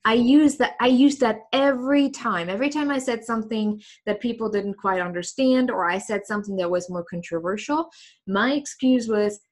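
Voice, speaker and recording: A woman's voice: on the high side, moderate pace. A woman talking openly in a casual interview, reflective and thoughtful, with frequent ums and restarts. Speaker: host.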